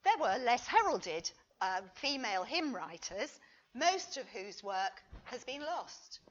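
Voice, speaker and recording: A woman reading a scripted academic paper as a conference lecturer, in a measured, deliberate and expressive manner. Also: on the high side. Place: conference talk. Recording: dull, muffled lo-fi recording.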